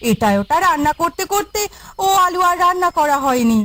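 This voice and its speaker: A woman voicing a brisk, practical elder wife in a village cartoon, giving orders and explanations. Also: extremely high pitch, expressive, bright, forceful tone, heavily acted. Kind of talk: cartoon voice acting.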